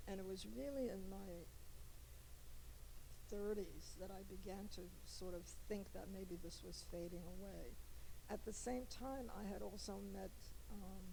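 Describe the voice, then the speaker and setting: An older Polish-born woman, speaking in a reflective, halting way with frequent restarts. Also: medium pitch, creaky, warm tone, slight background noise, low-quality recording. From Poland. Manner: halting, many restarts and asides. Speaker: poet, interviewee. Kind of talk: reflective conversation.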